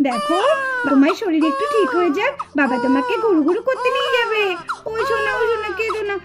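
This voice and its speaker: A woman's voice: very high voice, expressive, fast talker. A woman voicing a worried young mother doll in a puppet drama, fretful and soothing.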